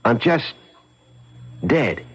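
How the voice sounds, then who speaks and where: An actor playing a scheming, fast-talking, hard-boiled crook in a 1970s radio drama, slangy and insistent. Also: male voice, mid-range voice, sing-song, breathy edge, exaggerated voice. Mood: urgent, secretive. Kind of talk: 1970s radio drama acting, with first-person narration. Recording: muffled 1970s radio broadcast, music underneath.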